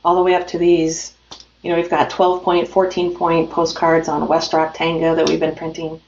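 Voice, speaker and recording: A woman's voice: sits low, monotone, normal speed, darkish tone, a little hiss or hum. A woman guest in a remote business interview, talking in an upbeat, promotional way with frequent fillers. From United States. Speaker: industry guest from a printing company. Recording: roomy, dull audio with faint music.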